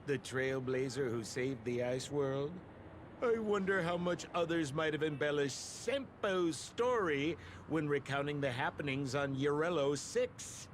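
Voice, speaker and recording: A man's voice: a little high, a little slow, a little hiss or hum, natural voice. A man voicing a suave, eloquent game character with a theatrical manner. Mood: musing, theatrical. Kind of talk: video game cutscene voice acting.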